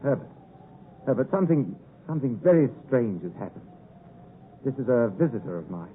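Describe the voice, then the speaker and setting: An actor playing an earnest, proper young gentleman in a 1950s radio drama, speaking formally and dramatically. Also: medium pitch, big pitch swings, fairly quick, a little hiss or hum, natural voice. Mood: flustered. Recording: muffled narrowband 1950s radio broadcast recording.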